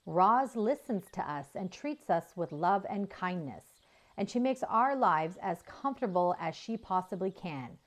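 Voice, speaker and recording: A woman's voice: on the low side, lively intonation, unhurried. A woman voicing a world-wise old female cow in a children's story, chiding and reflective. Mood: grateful, fond.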